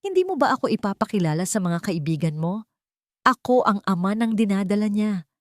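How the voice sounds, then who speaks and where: A woman voicing a drunk, manipulative ex-boyfriend in an audio romance story, loud, desperate and demanding. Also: medium pitch, lively intonation, fairly quick, dry recording, clean studio sound, pitched above the performer's own voice, lightly acted. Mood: drunken bravado. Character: drunk, menacing, manipulative ex-boyfriend, begging then raging.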